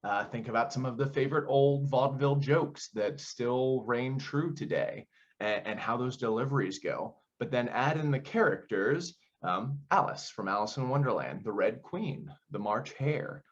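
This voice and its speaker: A man's voice: medium pitch, expressive, moderate pace, dull audio. A man giving conversational, explanatory interview answers as a theater director, often posing questions as he goes.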